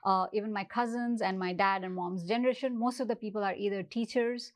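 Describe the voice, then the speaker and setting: A woman's voice: medium pitch, fairly even intonation, a little fast. Woman in her thirties. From Nepal. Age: 30s. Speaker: interview guest. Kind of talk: conversational interview answers about personal life.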